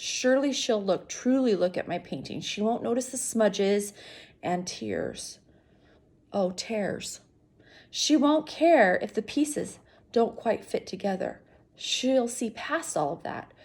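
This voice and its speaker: Woman reading as an anxious, earnest gorilla, slightly husky. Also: mid-range voice, a little mellow. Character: anxious, earnest male silverback gorilla narrator, artistic.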